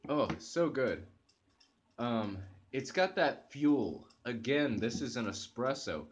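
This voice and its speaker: A young man talks slowly and eagerly into his webcam from a living room sofa, reviewing a coffee. His voice sits at an ordinary pitch, and he stumbles through fillers and restarts as he goes. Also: lively intonation, a little hiss or hum. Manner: enthusiastic, hesitant restarts and fillers. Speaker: channel host reviewing coffee. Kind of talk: casual product review to camera. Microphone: webcam at close range.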